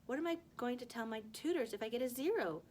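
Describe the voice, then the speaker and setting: A woman voicing a panicked, indignant overachieving middle-school girl in a storybook, loudly protesting. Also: fairly high pitch, expressive, fast talker, a little hiss or hum, voice pushed up, lightly acted. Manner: loud, protesting, bawling.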